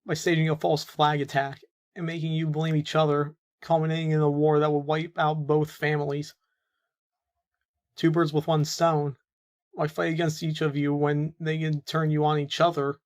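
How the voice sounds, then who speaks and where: A man's voice: a little high, monotone, normal speed, close to an everyday voice. A man playing a young captive mafia informant bargaining for his freedom in an audio roleplay, earnest and explanatory. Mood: earnest, uneasy.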